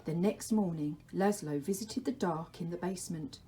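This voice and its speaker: A woman reading a children's storybook aloud as a teacher, with measured, gentle storytelling. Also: lowish voice. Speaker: primary school teacher.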